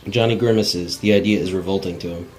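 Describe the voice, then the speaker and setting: A man's voice: sits low, fairly even intonation, fast talker, slight background noise. A man narrating script directions in a plain, unpolished cold read, stumbling now and then. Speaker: narrator reading stage directions.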